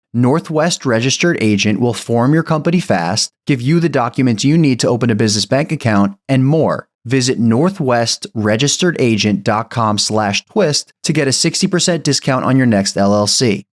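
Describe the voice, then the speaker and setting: A man's voice: medium pitch, no room sound, studio quality. Man reading sponsor ads. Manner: brisk, promotional. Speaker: ad reader. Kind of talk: scripted sponsor reads.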